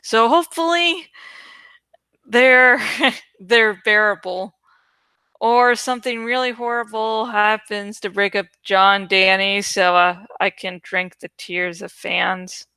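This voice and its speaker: Bright-voiced, sweary woman chatting casually. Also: mid-range voice, fairly even intonation, slow pace, clear, resonant tone, no echo. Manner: sweary and irreverent. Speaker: host of a reading channel. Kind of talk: casual chatty rambling to viewers.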